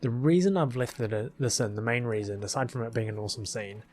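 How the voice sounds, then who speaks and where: A man's voice: medium pitch, fast talker, noisy background. A man giving rambling, casual commentary as a video creator, recorded as a close voice-over with music behind. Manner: rambling, chuckling asides. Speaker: video creator, film editor.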